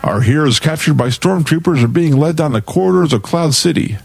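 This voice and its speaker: A man's voice: a little high, expressive, fairly quick, vocal fry, a little mellow, husky. A man reading scripted narration for a read-along adventure story.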